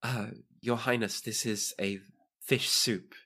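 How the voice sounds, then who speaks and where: A man's voice: mid-range voice, fairly even intonation, slow pace, bright, forceful tone, slightly rough, pitched above the performer's own voice, full character voice. A man playing a formal, deferential servant in a royal hospital for a tabletop game.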